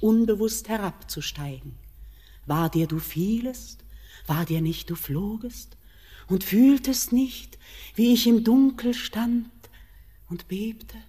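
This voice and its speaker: A woman's voice: mid-range voice, takes it slowly, natural voice. An actress playing a mysterious mountain queen in a classic German radio play, solemn and incantatory, tender yet commanding. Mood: solemn incantation. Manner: solemn, incantatory verse delivery, hushed at times. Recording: radio play with long hall reverb.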